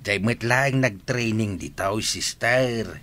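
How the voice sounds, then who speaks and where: A man's voice: lowish voice, slow pace, fairly bright tone. An actor playing a respectful, grateful elderly grandfather in a radio drama.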